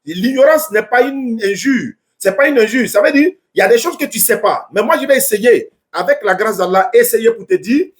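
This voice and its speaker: A man's voice: extremely high pitch, lively intonation, moderate pace, few pauses. A man, preaching on a live stream and talking with callers, earnest and repetitive, with many stumbling restarts.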